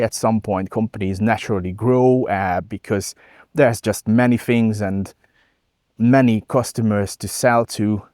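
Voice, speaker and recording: A man's voice: medium pitch, darkish tone, no room sound, studio quality. A man from Hungary with a Hungarian accent, talking reflectively as a vlogger in hesitant non-native English. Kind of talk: reflective talk to camera.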